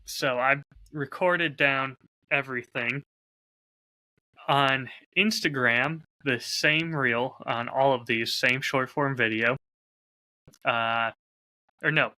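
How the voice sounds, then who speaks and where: A man hosts a casual podcast chat. His voice is very bright and forceful at a middle pitch. He comes across as upbeat and enthusiastic. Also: takes it slowly, long pauses, rough recording. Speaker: podcast host and audio drama creator.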